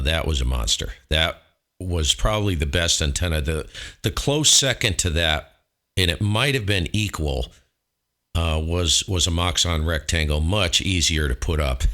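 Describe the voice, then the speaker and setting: A man hosting as a ham radio operator, talking technical matters in an informal, chatty way with pauses to think. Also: sits low, lively intonation, sharp tone. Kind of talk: casual technical radio chat.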